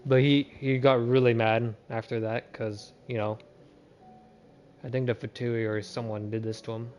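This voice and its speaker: Casual, halting male game streamer. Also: mid-range voice, level tone, a little mellow, dull audio, slight background noise. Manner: informal, halting, repeats words. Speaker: game livestreamer. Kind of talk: casual livestream chat and game commentary.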